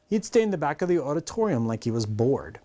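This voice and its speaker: Middle-aged man reading aloud, lively pitch. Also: fast talker. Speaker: reader of a children's novel. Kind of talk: read-aloud storytelling. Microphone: clip-on lapel mic.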